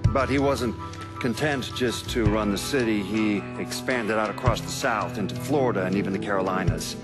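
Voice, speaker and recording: An actor playing a knowing man who narrates a crime game story in a measured, wry way. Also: fairly low pitch, natural voice. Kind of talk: dramatic narrated game monologue. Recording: very loud guitar music underneath. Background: loud guitar music.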